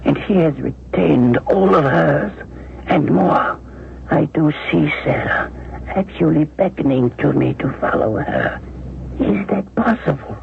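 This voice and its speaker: An actor playing a frail, ailing old man in a 1970s radio drama, slow, halting and wistful, with a gravelly voice. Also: fairly high pitch, expressive, phone-quality sound, heavily acted. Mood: awed, wistful. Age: older. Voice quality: gravelly, creaky. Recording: noisy, thin 1970s radio recording.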